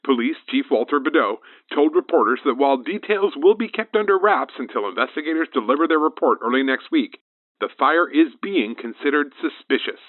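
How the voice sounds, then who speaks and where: An actor playing a brisk, folksy small-town radio newsman reading a local news and weather bulletin. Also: a little high, animated, no room sound, narrow, tinny audio.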